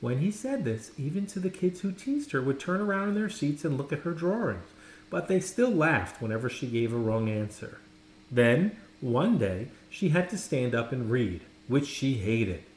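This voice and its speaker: A man reading a children's story aloud, dramatically, with pauses and stressed words. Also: mid-range voice, sing-song, darkish tone. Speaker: storybook reader. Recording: roomy echo, faint background noise.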